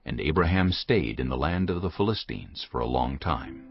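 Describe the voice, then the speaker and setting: Very deep-voiced, expressive male scripture narrator. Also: normal speed, lo-fi sound, over music. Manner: solemn, clearly phrased storytelling. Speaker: Bible narrator.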